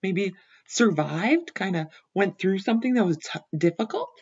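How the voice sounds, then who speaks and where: Young teacher reading to kids at home. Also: female voice, medium pitch, very animated, normal speed, husky, dull audio. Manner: gentle questioning, explains words. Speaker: first grade teacher. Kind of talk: picture book read-aloud for kids. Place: room at home, indoors. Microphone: laptop webcam.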